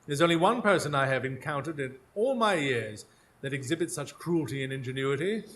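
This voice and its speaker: An actor playing a shrewd Victorian gentleman detective in a live radio drama, speaking in formal, articulate deductions. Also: on the high side, lively intonation, a little fast. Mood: intense, brooding. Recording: noisy live stage recording.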